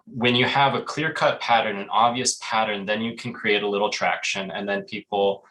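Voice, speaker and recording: Male panelist, low bright voice, hesitant and reflective, video call audio. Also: fairly even intonation, rough recording. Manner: thoughtful, halting, many fillers and restarts.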